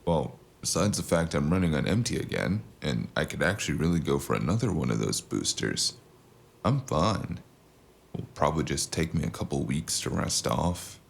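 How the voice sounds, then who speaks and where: Exhausted stubborn mercenary played by a man: extremely low, gravelly fry, flippant weariness, audio drama. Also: sing-song, normal speed, a little hiss or hum, full character voice. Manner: weary, flippant.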